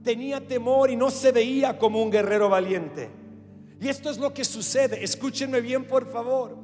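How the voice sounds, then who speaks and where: A man from Australia with an Australian English accent, preaching with a strikingly high voice. He drives each point home with emphatic repetition and direct appeals to his listeners, in a church hall with long, ringing reverb and faint music beneath. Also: normal speed, darkish tone. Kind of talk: sermon.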